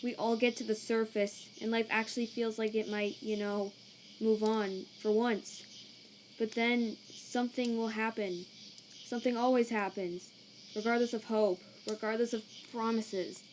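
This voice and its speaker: A woman voicing a weary, laid-back, protective male skeleton in a comic dub, casual and slangy, halting when troubled. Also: medium pitch, steady tone, slight background noise, close to an everyday voice. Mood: anguished. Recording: cricket night ambience behind.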